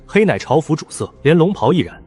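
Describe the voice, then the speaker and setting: A man playing an excitable, inquisitive and knowledgeable man in an animated drama, with an expressive way of talking. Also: a little high, fairly quick, breathy edge, no room sound. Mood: expository.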